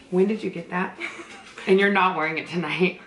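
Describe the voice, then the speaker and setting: A woman in her thirties chatting casually as a vlog co-host, teasing and exclaiming, recorded indoors from a few feet away. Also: on the low side. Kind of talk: casual comedic banter between friends. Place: small room at home, indoors. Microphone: camera a few feet away. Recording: roomy echo with clear background noise.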